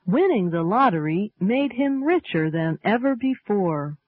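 Slow, lively woman on tinny radio. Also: medium pitch, dry recording. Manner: slow, clearly enunciated, lively intonation. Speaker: English lesson presenter. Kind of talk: scripted textbook reading. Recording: tinny narrow-band radio sound.

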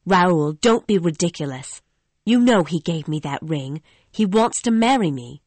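A woman's voice: on the low side, animated, measured pace, a little mellow, no echo. A woman voicing a frightened young female opera singer in an audiobook, with earnest, pleading delivery. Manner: earnest, pleading dialogue reading. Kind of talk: audiobook dialogue acting.

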